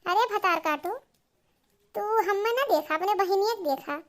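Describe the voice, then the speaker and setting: Very high pitch, woman acting as comic village mother, eastern Uttar Pradesh accent, exclamatory, meddling, coarse. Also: moderate pace. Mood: suspicious, scolding. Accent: eastern Uttar Pradesh Bhojpuri-Awadhi.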